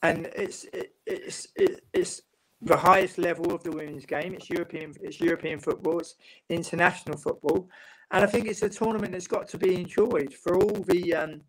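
A middle-aged man from England, a football writer and guest, talking on a video call in a measured, reflective way from a home room. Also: sits high, fairly even intonation, low-quality recording. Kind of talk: casual panel chat. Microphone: video call webcam.

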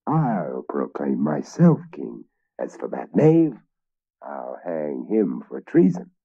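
A man's voice: a bass voice, wildly swooping pitch, slow, vocal fry, husky, voice pushed down, full character voice. A man voicing a sneering, ambitious knight who mutters his lines, in a dull lo-fi recording.

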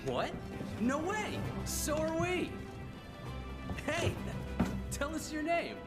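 A man playing an eager, chummy young adventurer in a game cutscene, brash, friendly and excitable. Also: very high voice, takes it slowly, full character voice. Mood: excited. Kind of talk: animated game cutscene dialogue. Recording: loud music underneath.

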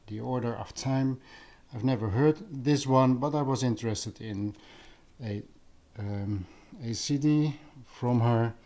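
A man's voice: mid-range voice, a little mellow. A man from the Netherlands with a Dutch accent, chatting casually and unscripted for a vlog, searching for words as he goes. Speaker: vlogger, audio enthusiast. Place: living room at home.

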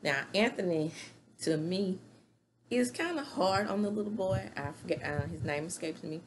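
Middle-aged woman, opinionated casual recap, lowish voice, webcam at home, faint room hum. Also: animated, moderate pace. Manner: chatty and opinionated, hand gestures. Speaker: reality TV recap host. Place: small room at home, indoors. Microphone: webcam at desk distance.

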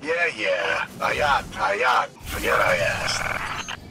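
Cocky, sarcastic little robot sidekick, swooping pitch. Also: male voice, very animated, drawn-out words, heavy background noise, exaggerated voice. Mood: mocking. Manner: sarcastic, mocking, streetwise. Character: cocky, sneering small male robotic companion serving a villain. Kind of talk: video game character dialogue.

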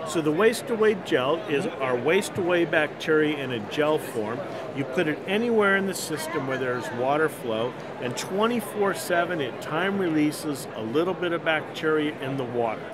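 A man's voice: lively intonation, moderate pace, a crowd in the background. An older man, a company founder, explaining a product at an expo booth in a confident, practiced way. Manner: assured, fluent pitch. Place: busy indoor expo booth. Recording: loud background noise of the expo hall.

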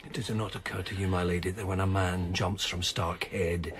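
A man's voice: low-pitched, flat delivery, normal speed, a little hiss or hum, lightly acted. An actor playing a bitter, despairing and sardonic man in a radio drama. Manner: sardonic, defeated.

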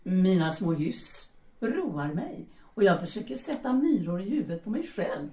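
Seductive, bossy bohemian woman actress, audio drama: mellow, very animated pitch, teasing and coaxing, echoey room. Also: natural voice. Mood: self-assured. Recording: echoey room, distant from mic.

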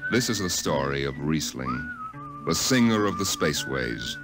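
American man, dramatic vintage radio narrator, very animated intonation, music underneath. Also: deep voice, moderate pace. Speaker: series narrator.

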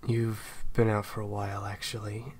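A man acting in an intimate audio roleplay as a caring, awkward handler who speaks softly and haltingly to an injured partner. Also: fairly low pitch, little pitch movement, a little hiss or hum, natural voice. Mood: concerned, gentle. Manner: hesitant, halting, soft-spoken. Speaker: voice actor.

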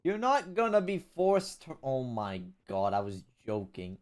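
A man's voice: very animated, measured pace, smooth. Young guy streamer on a headset, cusses a ton, voice swinging all over. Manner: swears a lot, loud and jumpy pitch. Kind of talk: casual gaming chat.

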